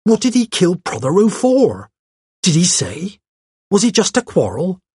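A man's voice: high voice, wildly swooping pitch, measured pace, darkish tone, husky, no echo, clean studio sound, voice pushed up, heavily acted. A man voicing a chatty, arch young woman with a gushing, pert, colloquial way of talking, as in an audiobook. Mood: surprised, scornful. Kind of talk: audiobook character voice.

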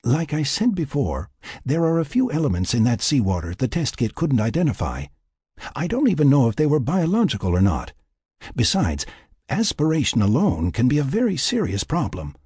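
A man voicing a calm, careful woman medic who explains things clinically in an audiobook. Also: mid-range voice, moderate pace, breathy edge, no room sound, clean studio sound, voice pushed up, exaggerated voice. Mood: concerned, explanatory. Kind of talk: audiobook character dialogue.

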